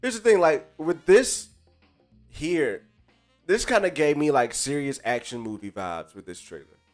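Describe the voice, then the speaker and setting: A man's voice: a little high, lively intonation, a little slow. A man hosting a live stream in casual chat, stuffy-nosed and under the weather, talking in hyped slang and greeting chatters by name. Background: faint live jazz music.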